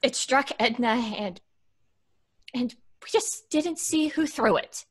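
Young woman, high voice, earnest, fearful, dramatic, old radio play style. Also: takes it slowly, breathy edge, exaggerated voice. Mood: earnest, pleading. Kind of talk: old-time radio acting.

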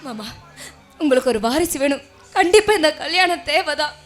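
A woman's voice: sits high, lively intonation, normal speed, faint music behind. A woman playing a distraught, self-sacrificing and stubborn wife in an emotional, pleading melodrama. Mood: determined. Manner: emotional, pleading, often tearful. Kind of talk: melodramatic Tamil drama acting.

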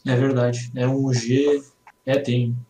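A man's voice: mid-range voice, flat delivery, takes it slowly, mellow. Roomy-sounding Brazilian man chatting casually. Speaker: stream co-commentator. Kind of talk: casual chat. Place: indoor room at home, on video call. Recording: roomy, echoing, noisy home microphone.

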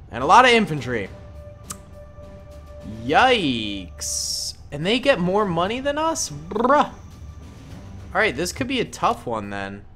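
A young man gives easygoing commentary over a strategy game, joking in slang as he plays. His pitch moves around a lot as he talks. Soft music runs faintly beneath his voice. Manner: playful, jokey slang. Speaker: gaming YouTuber. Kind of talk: casual gameplay commentary.

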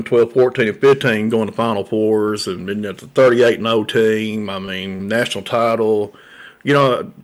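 Man, 40s, low-ish voice, sports talk host, repetitive and rambling, close studio mic. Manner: rambling, repeats words and fillers. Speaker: local sports show host. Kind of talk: casual sports talk monologue. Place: small room set up as a coffee shop, indoors. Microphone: large studio mic on a boom arm.

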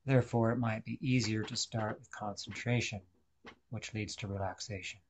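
A man leading a guided meditation, speaking deliberately in short instructional phrases with pauses. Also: fairly low pitch, fairly even intonation, a little hiss or hum.

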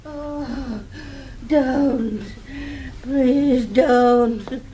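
A woman's voice: high-pitched, drawn-out words, background noise. An actress playing a terrified, injured woman moaning and pleading in a radio drama. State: distressed, moaning.

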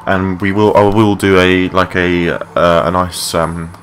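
A young man with a low voice giving rambling, matey, sweary gameplay commentary in a casual unscripted way. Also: flat delivery, a little slow, fairly bright tone. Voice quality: low-pitched voice. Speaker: gaming video creator. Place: his room at home. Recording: clearly noisy home mic recording.

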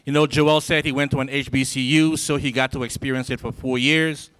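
Guy from liberia firing up a packed lecture hall, handheld mic, dry sound, mid pitch, repeats stuff for punch. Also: level tone, a little fast, a bit bright, clean tone. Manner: rousing, preacher-like cadence with emphatic repetition. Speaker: symposium speaker. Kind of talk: motivational speech to students. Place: large college lecture hall, indoors. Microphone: handheld microphone.

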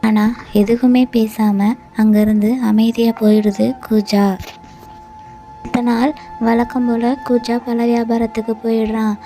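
A woman narrating a cartoon story in an even, storytelling manner. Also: on the high side, unhurried. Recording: light music bed.

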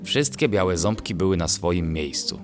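A man narrating a children's bedtime story in an expressive storytelling manner. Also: deep voice, measured pace, music in the background.

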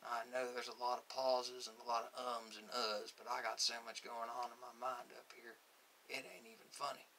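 Sharp-voiced, stern army sergeant played by a man. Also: mid-range voice, little pitch movement, lightly acted, rough recording. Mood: stern, impatient. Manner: halting, repetitive, drawling colloquial questioning. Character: stern, folksy male army sergeant interrogating a prisoner. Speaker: ASMR roleplay creator. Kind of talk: ASMR interrogation roleplay. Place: small cluttered room, indoors. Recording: hissy home recording.

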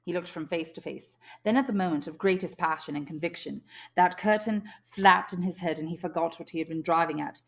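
A woman reading a novel aloud as an audiobook, measured and expressive, like a teacher. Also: lowish voice. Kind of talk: audiobook narration.

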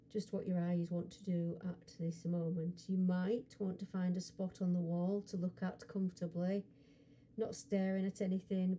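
A woman with a very soft, dark, mellow voice leads a guided hypnosis session. She speaks slowly and soothingly, leaving frequent pauses between short phrases. The recording is somewhat muffled and dull. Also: lowish voice, a little hiss or hum. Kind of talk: guided hypnosis relaxation script.

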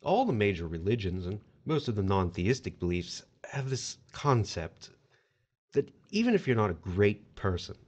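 A man's voice: fairly low pitch, big pitch swings, normal speed, darkish tone. An actor playing an officious otherworldly male collector of souls in an audio horror drama, wry and formal as he explains procedure. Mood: formal, theatrical.

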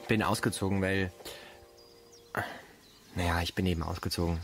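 Naive young man, lowish voice, tentative, halting, questioning, naturalistic radio play, background music. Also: steady tone, fairly bright tone. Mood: quietly reflective. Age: young adult.

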